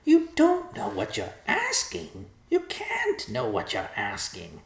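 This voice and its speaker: A man voicing an excitable, fearful, pleading vulture in a storybook, with a shrill, strained voice. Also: slow, gravelly, roomy sound, full character voice. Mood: begging. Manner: exclamatory, repeats words in agitation. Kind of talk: character voice in storybook narration.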